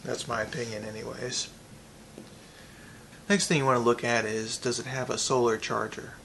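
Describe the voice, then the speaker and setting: A man giving a casual, unscripted product review, thinking aloud in a halting way with pauses. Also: mid-range voice, fairly even intonation, vocal fry, a bit bright, slight background noise.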